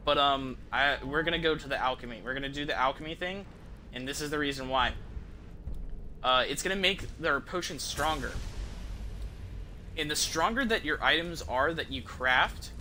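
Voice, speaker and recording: A man's voice: on the high side, pushed and bright, a little hiss or hum. A man doing casual, profane and slangy commentary as he livestreams a video game. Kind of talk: casual gameplay commentary to stream viewers.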